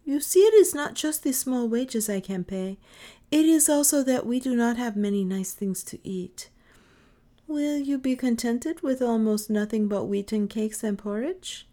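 A tired, kindly mother from an old storybook, soft-spoken and wondering, her voice lifted a little higher than plain talk, as a woman reader puts her on. She sounds puzzled but warm-hearted. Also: unhurried. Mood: gentle.

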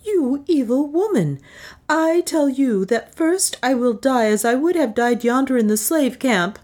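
Woman acting an impassioned young heroine, high-pitched. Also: expressive, unhurried, a little mellow. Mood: indignant. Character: impassioned, indignant young woman, loyal and pleading.

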